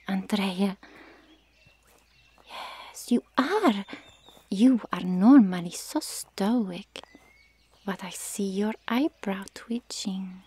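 A woman playing a nosy, teasing Amazon warrior sister in an ASMR roleplay, speaking softly and often whispering. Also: on the high side, no echo, exaggerated voice. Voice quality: soft, breathy, often whispering. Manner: inquisitive, needling.

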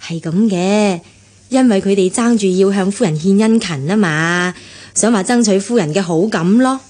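Husky, gossipy household servant played by an actress. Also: female voice, mid-range voice, normal speed, a little mellow, lightly acted. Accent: Hong Kong Cantonese. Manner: gossipy, explanatory. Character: chatty female household servant gossiping about her mistress. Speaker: radio drama actor. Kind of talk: Cantonese radio drama dialogue. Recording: husky-sounding radio rebroadcast, faint music.

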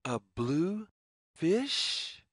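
A woman reading a children's picture book aloud in a sing-song, deliberate, word-by-word way. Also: fairly low pitch, very animated, husky, no room sound, studio quality. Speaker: story reader.

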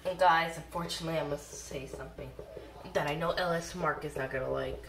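A man's voice: on the high side, fairly even intonation, fairly quick, vocal fry, distant mic. A young male co-host chatting casually and dramatically while drawing. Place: indoors at a wooden table. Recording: echoey, noisy home recording.

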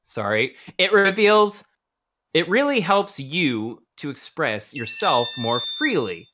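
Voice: mid-range voice, sing-song, takes it slowly, bright, forceful tone, slight background noise. A podcast co-host reading absurd marketing copy aloud, with joking asides.

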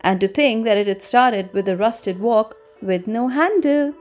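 A woman narrating a scripted children's story with expressive, deliberate phrasing. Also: a little high. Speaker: storybook reader. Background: music.

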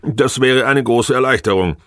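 A man's voice: medium pitch, fairly bright tone, dry recording, studio quality, voice pushed up, close to an everyday voice. A man voicing a precise, matter-of-fact male technical expert in an audiobook. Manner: measured, factual.

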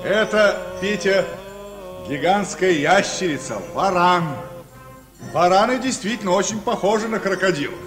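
An actor playing a knowledgeable captain who explains things in a measured, instructive way in a radio play. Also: male voice, high-pitched, animated, over music. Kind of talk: mid-century Soviet radio play acting.

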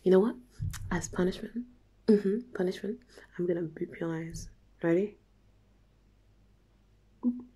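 A woman playing a playful, nervous young date in an intimate ASMR roleplay, soft and halting, often near a whisper. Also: fairly low pitch, slightly rough. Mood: mock-offended, teasing. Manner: halting, giggly, teasing.

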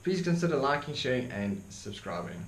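A young man from South Africa with an Afrikaans accent, Afrikaans his first language, talking earnestly to camera in a dark room, with many ums and repeated words. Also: medium pitch, very animated, a little fast. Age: young adult. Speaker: YouTuber. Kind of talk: opinion monologue to camera. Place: dark indoor room, seated by desk. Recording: echoey room with faint hiss.

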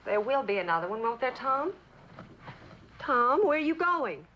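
An actress playing a bitter, neglected wife, plaintive and accusing, in mid-century TV drama style. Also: female voice, high voice, big pitch swings, normal speed, sharp tone, exaggerated voice. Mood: accusing, pleading. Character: neglected, bitter young wife, wounded and reproachful. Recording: dull, faintly noisy old television soundtrack.

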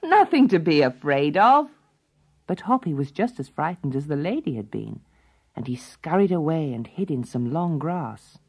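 A woman narrating a children's storybook in an expressive, dramatic way. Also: deep voice, moderate pace, breathy edge.

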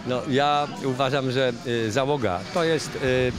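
An older rally co-driver talking in a measured, hesitant way with many fillers, outdoors under a canopy, into a handheld mic. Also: male voice, medium pitch, fairly even intonation, a crowd in the background. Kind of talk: post-stage interview. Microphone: handheld reporter mic. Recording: noisy outdoor rally paddock.

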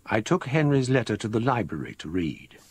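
An actor playing a scholarly middle-aged gentleman, gravely recounting a ghostly ordeal in measured literary radio-drama narration. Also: fairly low pitch, very animated, a little hiss or hum.

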